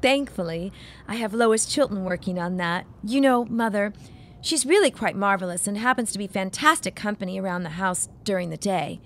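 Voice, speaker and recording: A woman's voice: medium pitch, expressive, moderate pace, a little hiss or hum, lightly acted. A woman playing a refined grown daughter, wistful and haughty, speaking formally in a period audio drama. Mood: defensive, exasperated. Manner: genteel, formal, dismissive.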